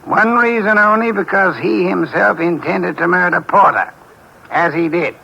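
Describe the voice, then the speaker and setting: A pompous gravelly detective. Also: male voice, sits high, moderate pace, pushed and bright, exaggerated voice, rough recording. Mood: lecturing. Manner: pompous, precise, lordly diction. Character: pompous, portly detective, older man. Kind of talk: 1950s radio drama acting. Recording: old radio broadcast with faint hiss.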